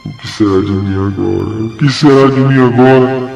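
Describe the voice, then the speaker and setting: A man playing a tormented, arrogant commander of dark spirits in an audio drama, ranting theatrically and repeating his phrases. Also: medium pitch, normal speed, vocal fry, dull audio, natural voice. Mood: scornful, worried. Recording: roomy echo under loud music.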